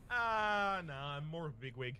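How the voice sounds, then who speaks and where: A man's voice: on the high side, animated, normal speed, pushed and bright, slight background noise. A man joining a gaming video as a guest, bantering in a playful, joking way. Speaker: guest gamer. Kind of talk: casual let's-play banter.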